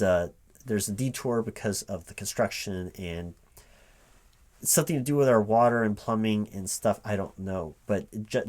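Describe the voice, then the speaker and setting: A man playing a polite, flustered hiring manager in an improvised interview roleplay, speaking aloud in a halting, rambling, stammering way. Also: lowish voice, natural voice. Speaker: recruiting manager in a one-sided roleplay.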